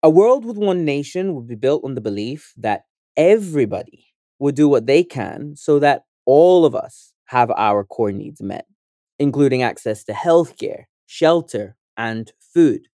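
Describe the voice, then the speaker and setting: A young adult man from Sudan, an organizer and activist, reading a scripted first-person essay in an earnest, measured, persuasive way. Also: medium pitch, animated, warm tone, no echo, studio quality.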